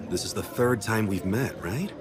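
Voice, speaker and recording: A man acts a cocky male sorcerer in an anime-style battle story. He taunts his foes with an easy nonchalance. The recording is quiet and clearly noisy, with clicks. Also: fairly low pitch, moderate pace, crowd noise, lightly acted. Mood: taunting.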